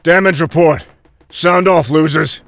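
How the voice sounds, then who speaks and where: An actor playing a terse, commanding wartime military pilot who leads a commando squad in an audio drama. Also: male voice, on the high side, unhurried, gravelly, a bit bright, no room sound. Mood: brisk command.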